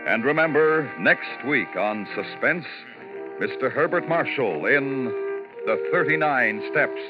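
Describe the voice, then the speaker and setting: A man's voice: medium pitch, animated, slow. An American man announcing a radio show in a formal, dramatic 1950s style. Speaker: program announcer. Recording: muffled old radio recording. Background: loud orchestral music.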